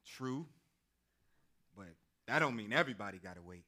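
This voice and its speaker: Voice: mid-range voice, animated, moderate pace, sharp tone. An actor playing an impatient, flirtatious man with terse, colloquial talk in a staged play reading. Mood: mellow, flirtatious.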